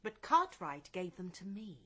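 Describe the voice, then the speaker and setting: An actress playing a cool, scheming, bossy wife in a radio drama, sharp and commanding. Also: female voice, lowish voice, big pitch swings, a little slow, breathy edge, full character voice. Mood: urgent, controlling.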